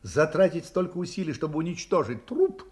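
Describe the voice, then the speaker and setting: An actor playing a confident, incisive private detective in an audio drama, reasoning aloud with assurance. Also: male voice, fairly high pitch, sing-song, fairly quick, darkish tone, slightly rough. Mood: assured, reasoning. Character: confident, sharp, probing male private detective. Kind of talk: Russian audio drama acting.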